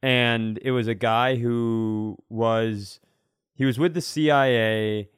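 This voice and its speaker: A man with a clean, resonant voice tells a casual comic story as a podcast host. The recording is dry and close, and he re-enacts moments in an animated, self-mocking way. His pitch sits in the middle. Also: takes it slowly, clean tone, no echo.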